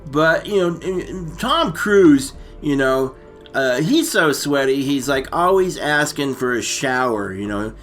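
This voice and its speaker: A man co-hosting a casual comic movie recap, rambling and halting, full of slang. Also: medium pitch, animated, fairly bright tone, soft background music. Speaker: comedy storytelling co-host.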